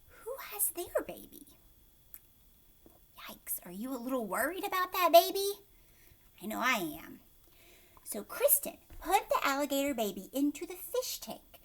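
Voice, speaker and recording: A woman hosts a storybook read-aloud for children. Her voice is high and swoops expressively. She narrates with emphasis and often turns to ask the listeners questions.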